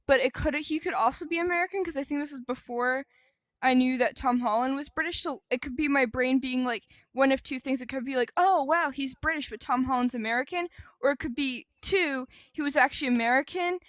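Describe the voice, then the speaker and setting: A woman with a fairly high voice chats casually as a podcast co-host. She rambles and jokes, drifting into tangents. The sound is dry, with very little room to it. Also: fairly quick, fairly bright tone, no room sound. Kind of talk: casual, joking podcast chat.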